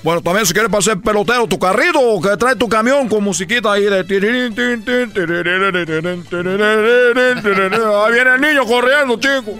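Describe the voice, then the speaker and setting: A man's voice: high-pitched, brisk, hardly any pauses, sharp tone, soft background music. A man voicing a boastful Cuban baseball player with a put-on Cuban accent, joking and rambling. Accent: Cuban Spanish put on.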